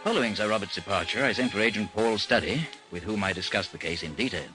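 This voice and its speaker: An actor playing a composed, dry investigation chief in an old radio drama, speaking and narrating in a formal, understated way. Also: male voice, fairly low pitch, fast talker, over loud music, lightly acted, rough recording. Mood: measured narrating. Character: composed, dry British chief of a missing persons bureau, narrating his case.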